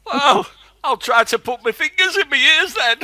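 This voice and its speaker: A man's voice: very high voice, lively intonation, normal speed, crowd noise. A man playing a good-natured, bumbling English wartime neighbour in an amateur radio play. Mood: awkward.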